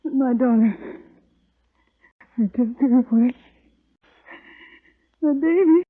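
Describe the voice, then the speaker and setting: A woman's voice: high-pitched, slow. Gasping, anguished frontier mother. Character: distraught, badly injured homesteader mother pleading for her baby. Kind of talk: 1950s radio western acting. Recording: muffled, tinny old radio recording.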